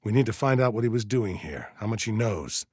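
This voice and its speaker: A stern, God-fearing militia colonel for a video game. His husky voice rises and falls expressively as he hands down solemn, measured orders. The recording is dry and close, keeping every clipped command stark. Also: fairly low pitch, lively intonation, a little mellow, no echo. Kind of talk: dramatic video game voice acting.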